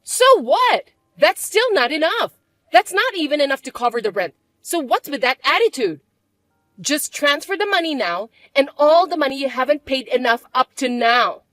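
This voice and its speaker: A woman voicing a shrill, spoiled stepsister. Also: expressive, moderate pace, pushed and bright, no echo. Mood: taunting. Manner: shrill, taunting and bossy. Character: spoiled, mocking young adult stepsister.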